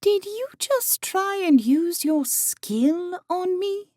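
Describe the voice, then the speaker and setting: A self-assured fantasy woman with a high, mellow voice. She swoops expressively through her lines in a deliberate, dryly commanding way, as if sizing up a newcomer in a game world. The recording is dry and close. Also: animated, dry recording, exaggerated voice. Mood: sharp, suspicious. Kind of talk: audiobook character acting.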